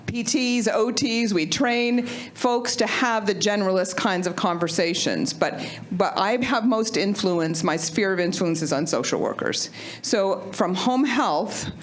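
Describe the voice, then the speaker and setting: A woman manager in home health and hospice, speaking up from a meeting room audience without a microphone in an upbeat way, laughing often and calling herself loud. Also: medium pitch. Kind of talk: panel discussion audience comment. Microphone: no microphone, picked up from a distance.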